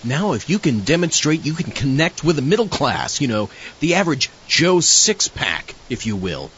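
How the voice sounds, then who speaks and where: Man playing a lively, helpful zombie sidekick. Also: on the high side, moderate pace, highs cut off, slight background noise. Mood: upbeat advising. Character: villain's male zombie sidekick, helpful campaign manager. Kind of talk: comic audio-drama acting and show hosting.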